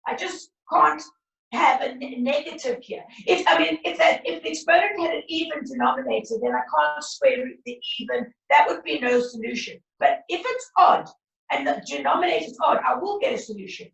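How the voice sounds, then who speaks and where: A woman maths teacher, teaching a class over a video call in a brisk, encouraging way, her voice croaky. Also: high-pitched, expressive, fairly bright tone, highs cut off. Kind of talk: online class lesson. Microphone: laptop video call. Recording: roomy far-off video call audio.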